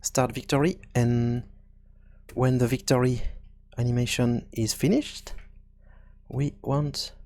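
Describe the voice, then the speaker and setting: A man giving an informal software tutorial, thinking aloud in a halting, self-correcting way. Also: medium pitch, fairly even intonation. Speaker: tutorial presenter.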